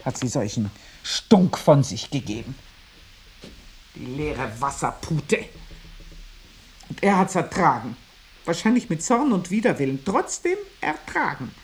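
A woman playing a bitter, earthy older maidservant, telling her story in a dramatic monologue with a husky voice. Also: sits low, sing-song, slow, pauses often, heavily acted. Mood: scornful. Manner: scornful, vivid, shifting between murmur and emphasis.

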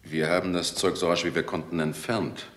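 An actor playing a worried, sober hospital doctor in a radio crime drama, speaking gravely. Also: male voice, low-pitched, little pitch movement, brisk, lightly acted. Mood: concerned.

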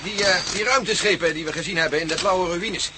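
Quick, high-voiced practical man acted. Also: close to an everyday voice. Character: practical, cautious man assisting a space mission. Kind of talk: radio play acting. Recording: noisy old radio play recording.

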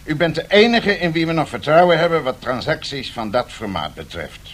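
An actor playing a secretive, persuasive financial envoy in a radio drama, speaking in a formal, deliberate way. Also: male voice, a little high, expressive, a bit bright. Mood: measured, secretive.

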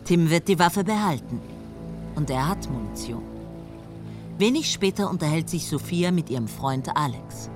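A woman narrating a radio drama in a calm, matter-of-fact tone. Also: low-pitched, moderate pace, over music. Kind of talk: scripted narration.

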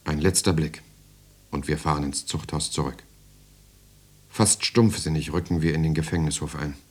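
An actor playing a weary political prisoner who narrates his story in measured, intimate short phrases in a radio play. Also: very deep voice, steady tone, husky. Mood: weary, tense. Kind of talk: scripted dramatic narration.

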